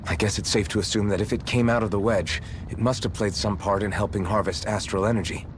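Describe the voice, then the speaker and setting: Actor as a low-voiced resolute young hero. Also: male voice, steady tone, fast, background noise, lightly acted. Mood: thoughtful. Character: young male warrior, resolute, determined party leader. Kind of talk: dramatic video game voice acting.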